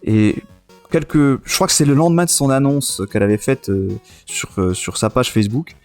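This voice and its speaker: A man's voice: medium pitch, animated, moderate pace, a little mellow, faint music behind. A man in his thirties hosting a casual podcast chat, enthusiastic and often repeating himself. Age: 30s. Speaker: podcast and web radio host. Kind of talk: casual chat, reminiscing about retro gaming.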